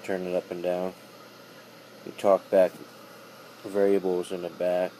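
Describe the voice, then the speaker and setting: A man giving a casual hands-on equipment demonstration, instructing in a halting way over faint background noise. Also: low-pitched, completely flat delivery, mellow, poor audio. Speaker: demonstrator of a CB radio.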